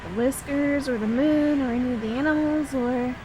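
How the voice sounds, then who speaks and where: Woman acting a high-voiced, sweet, bashful young woman. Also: normal speed, noisy background, natural voice. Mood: excited, cute. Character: sweet, bashful young woman confiding in her best friend. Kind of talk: ASMR roleplay audio drama.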